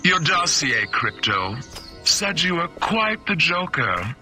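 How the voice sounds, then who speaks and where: An actor playing a dry, knowing disguised agent in a video game, over music. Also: male voice, on the high side, big pitch swings, slow pace, bright, forceful tone, heavily acted. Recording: game audio with music behind.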